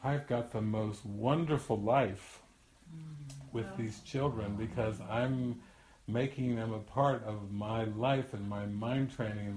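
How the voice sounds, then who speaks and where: A man's voice: on the low side, darkish tone, slight background noise. Older man telling a story in an echoey room. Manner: rambling anecdotal storyteller, laughs often, frequent restarts. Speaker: spiritual teacher. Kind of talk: storytelling teaching talk.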